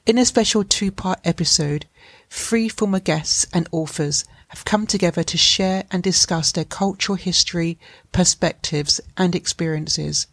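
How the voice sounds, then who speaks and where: A woman's voice: on the low side, moderate pace, no echo. Formal woman podcast host, dry sound. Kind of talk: scripted introduction and interview questions.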